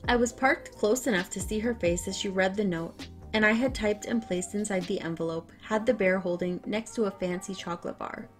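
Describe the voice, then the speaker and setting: A woman reading online stories aloud, then reacting to them with loud, animated outbursts. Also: mid-range voice, a little fast, soft background music. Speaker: story narrator.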